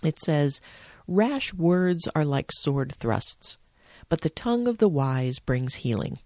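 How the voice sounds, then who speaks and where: A middle-aged American woman hosting a spoken devotional, telling it in a measured, reflective way. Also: low-pitched, sing-song, dark tone, no echo, clean studio sound. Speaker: devotional podcast host, church organization director.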